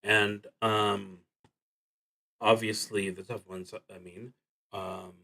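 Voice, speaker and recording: A man thinking aloud as he plays a solo tabletop roleplaying game on a stream, talking in a deliberate, halting way with dry asides. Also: lowish voice, flat delivery, creaky, sharp tone. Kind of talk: live play narration, thinking aloud.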